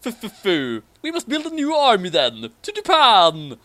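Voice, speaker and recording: A man voicing an excitable decapitated undead pilot's head, sputtering and shouting in a comic story reading. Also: high-pitched, a little slow, pitched above the performer's own voice, heavily acted. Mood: rallying, loud.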